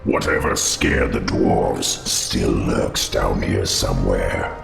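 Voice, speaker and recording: An actor playing an ancient, solemn undead insect lord in a game cutscene, with a gravelly, monstrous, echoing voice and slow theatrical delivery. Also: male voice, a bass voice, lively intonation, heavily acted. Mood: grave, watchful. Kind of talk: video game cutscene acting. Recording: echoing voice over game music.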